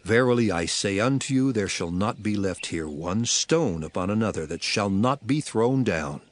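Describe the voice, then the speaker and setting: Male actor as authoritative prophet, low voice, lively intonation, solemn, measured, declamatory, scripture drama. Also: slight background noise, natural voice. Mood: grave, warning. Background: background music.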